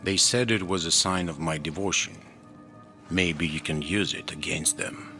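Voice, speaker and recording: A weary older ruler from a video game, cautious and grave. The voice is deep, with solemn, measured phrasing, and music plays clearly underneath. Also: male voice, pushed and bright. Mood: guarded, grateful. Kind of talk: video game cutscene acting.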